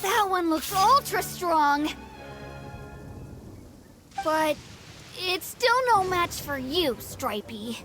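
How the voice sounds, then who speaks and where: Game role: confident small boy, very high and animated, music behind. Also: very slow, pauses often, heavily acted. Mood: excited, boastful. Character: eager, confident little boy who loves beetle fights. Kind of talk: video game voice acting.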